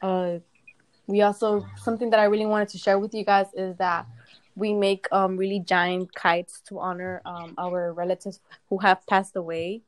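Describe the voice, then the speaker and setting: A woman's voice: medium pitch, little pitch movement, normal speed, no echo. A young woman from Guatemala co-hosting a casual podcast chat, hesitant with lots of fillers and curious questions. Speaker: podcast co-host.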